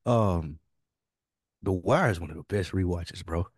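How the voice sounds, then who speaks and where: A man's voice: low-pitched, measured pace, a little mellow, slightly rough. A man co-hosting a podcast, chatting casually in slangy, informal language with some swearing. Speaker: podcast co-host.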